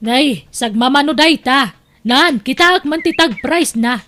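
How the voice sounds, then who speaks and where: High-voiced bossy aunt played by a woman. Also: lively intonation, unhurried, fairly bright tone. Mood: coaxing, bossy. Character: grasping, falsely generous aunt out shopping. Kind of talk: Ilocano audio drama acting.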